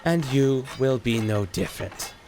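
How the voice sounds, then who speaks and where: A man's voice: mid-range voice, animated, measured pace. A man voicing an arrogant, power-hungry animal warlord in a video game, pompous, menacing and theatrical in his delivery. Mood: smug menace. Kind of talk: video game villain acting. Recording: music behind the voice.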